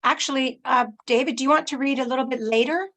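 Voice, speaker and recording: A woman's voice: sits high, steady tone, fast, a bit bright. A woman hosting an online literary reading, speaking informally in a reassuring, organising way. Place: video call.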